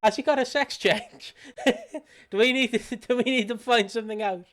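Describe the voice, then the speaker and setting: A British man with a high, smooth, clear voice co-hosts a casual podcast. He teases and giggles his way through the chat and now and then reads out notes. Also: moderate pace, fairly bright tone. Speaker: podcast co-host.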